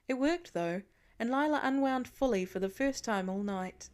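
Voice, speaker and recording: A woman's voice: on the high side, expressive, moderate pace, a little mellow, smooth. A woman reading a story aloud as an audiobook narrator in scripted narration.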